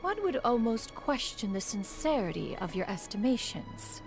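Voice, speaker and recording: An actress playing a haughty, ancient immortal mentor in a video game, with pompous archaic speech. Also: female voice, on the high side, sing-song, moderate pace. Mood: proud. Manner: pompous, archaic speech, refers to self as "one". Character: haughty ancient adeptus bird in woman's form, imperious mentor. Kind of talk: scripted video game dialogue. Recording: music playing behind.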